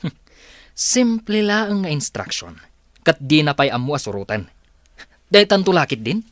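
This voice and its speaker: An actor with an Ilocano accent playing a skeptical, grumbling office boss in a radio drama. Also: male voice, fairly high pitch, very animated, normal speed, a bit bright, no room sound, studio quality. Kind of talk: Filipino radio drama acting.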